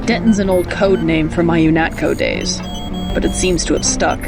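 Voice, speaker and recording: An actor playing a calm, stoic young covert agent in a video game, speaking in terse, deadpan lines. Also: male voice, fairly high pitch, normal speed, creaky. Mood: dry, curious. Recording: game audio with loud music behind.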